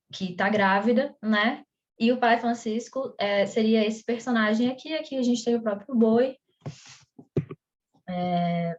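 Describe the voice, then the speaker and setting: A woman with a Brazilian Portuguese accent explains her subject in a loose, conversational teaching style, pausing on fillers and restarting her phrases. Her voice sits at an ordinary pitch for a woman. The recording is a little dull and muffled. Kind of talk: explanatory online lesson.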